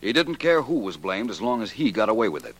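Deep-voiced, self-assured radio detective. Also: male voice, very animated, fast, low-quality recording. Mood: self-assured, explanatory. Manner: crisp, confident, methodical explaining. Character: shrewd, self-assured male amateur detective explaining his deductions. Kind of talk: 1940s radio detective drama acting.

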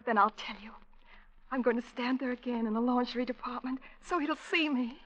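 A breathy, dreamy young woman. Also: a little high, brisk. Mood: hopeful. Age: young adult. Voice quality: breathy, often near a whisper. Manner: wistful, rapturous, hushed. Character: dreamy, fearful young woman smitten with forbidden love. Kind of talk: 1940s radio drama acting. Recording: dull vintage radio recording.

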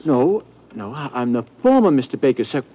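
A man's voice: medium pitch, very animated, brisk. An actor playing a jittery, flustered young male secretary who stammers and hurries in an old radio drama, on a muffled old recording.

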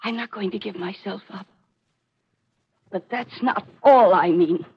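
A woman's voice: mid-range voice, unhurried, breathy edge. A woman acting in a 1940s radio mystery as a haunted, fatalistic young American woman, intense and melodramatic. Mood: haunted, despairing. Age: young adult. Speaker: radio actor. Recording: muffled old radio recording.

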